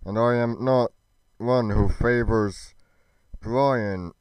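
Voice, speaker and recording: A man playing a righteous, stern god with a deliberate, archaic, declamatory delivery. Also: mid-range voice, darkish tone, smooth, no room sound, close to an everyday voice. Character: stern, righteous god scolding his divine wife.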